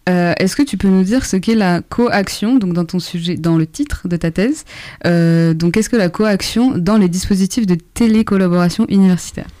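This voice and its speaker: Young woman interviewer with a soft, dark tone. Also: fairly low pitch. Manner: hesitant, halting questions. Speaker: radio interviewer, co-host. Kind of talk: casual interview questioning.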